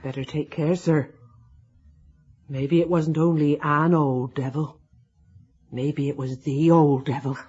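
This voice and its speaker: An actor playing a superstitious older working-class English housekeeper, homely and deferential, in a radio drama. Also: male voice, fairly high pitch, steady tone, slow pace, pauses often, a little mellow, slightly rough. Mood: ominous. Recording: dull lo-fi old radio recording.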